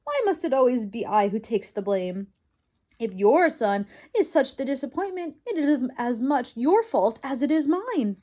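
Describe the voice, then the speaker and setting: A woman voicing a fussy, theatrical mother mouse with a put-on French accent, dismissive and quick to scold. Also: sits high, sing-song, mellow, full character voice. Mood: scornful. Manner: scornful, dismissive, rapid scolding.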